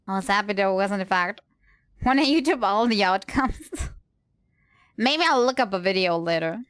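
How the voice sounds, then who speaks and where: A woman's voice: medium pitch, lively intonation, normal speed, a bit bright, smooth, dry recording. A woman livestreaming a game, giving casual live commentary as she plays.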